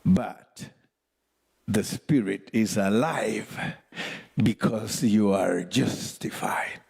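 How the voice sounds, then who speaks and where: Male pastor, Spanish native, unhurried sermon with wide lively pitch and dark warm tone. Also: vocal fry, husky. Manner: animated preaching with playful asides and shouted exclamations. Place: church sanctuary.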